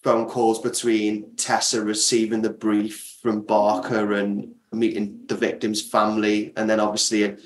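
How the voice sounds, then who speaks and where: A man's voice: fairly low pitch, completely flat delivery, a little slow, hardly any pauses. A man giving explanatory, conversational interview answers as a guest creator, often restarting mid-thought. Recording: echoey, roomy sound.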